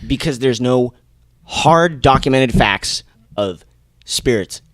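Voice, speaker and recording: A man playing an irritable, skeptical podcast guest, blunt and impatient with a deadpan grumble, in a mock interview. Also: mid-range voice, slow pace, no room sound.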